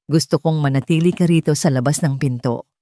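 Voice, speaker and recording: A woman's voice: deep voice, a little fast, mellow, no echo, clean studio sound, close to an everyday voice. Woman narrator as haughty cold elderly matriarch. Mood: cold contempt. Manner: cold, scornful, commanding.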